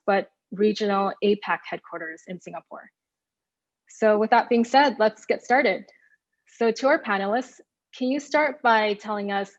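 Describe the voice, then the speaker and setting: Woman, panel moderator, enthusiastic, upbeat, video call, muffled lo-fi sound. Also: mid-range voice.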